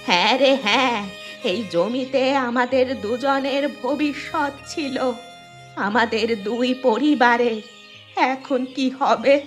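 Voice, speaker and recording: Cartoon old village grandmother , female actor: high, slow, wailing and scolding, music behind. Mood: lamenting. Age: older. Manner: lamenting, drawn-out wailing and scolding. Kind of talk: Bengali moral-story cartoon voice acting.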